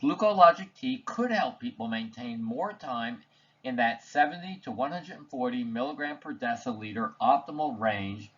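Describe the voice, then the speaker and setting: A man reading a scripted slide presentation voice-over in a measured, formal, technical manner. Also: medium pitch, a bit bright, highs cut off. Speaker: presenter narrating study results.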